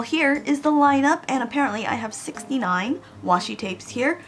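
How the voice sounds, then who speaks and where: Woman, craft vlogger, getting over a cold, chatty, gravelly voice, slightly high pitch, faint hiss. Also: a bit bright. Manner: chatty, addresses viewers directly. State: recovering from a cold. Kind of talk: casual unboxing haul. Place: home room with air conditioning, in Japan.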